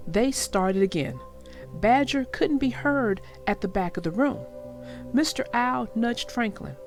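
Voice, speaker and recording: A woman storyteller speaks to young listeners with an expressive, reassuring delivery. Her voice sits fairly high and moves widely in pitch, while she takes her time over the words. She addresses the children directly, as a read-aloud narrator does. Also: a little slow, soft background music.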